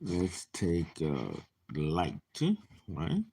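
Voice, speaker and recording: A man in his late fifties reads tarot for his viewers in a relaxed, talkative way. His voice sits low but leaps up and down expressively, and he takes his time. He slips into whispers and laughs between thoughts. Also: extremely slow, slightly rough. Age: 50s. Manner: animated, chatty, laughing asides, sudden whispers. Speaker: tarot reader. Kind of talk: casual live tarot reading.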